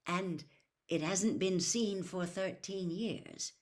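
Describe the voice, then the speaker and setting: A woman's voice: fairly low pitch, slow pace. A woman voicing a grave, weary middle-aged father explaining things in a storybook reading.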